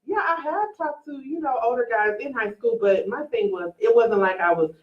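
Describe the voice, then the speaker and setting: A woman's voice: a little high, normal speed. A woman in her twenties chatting casually as a talk show host, with blunt, emphatic, slangy opinions. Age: 20s. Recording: roomy, dull, distant-mic recording.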